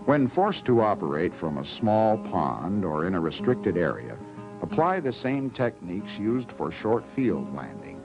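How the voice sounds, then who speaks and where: A man reading scripted instructional narration for a training film in a measured, explanatory way. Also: low-pitched, big pitch swings, over music. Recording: dull 1970s 16mm film soundtrack.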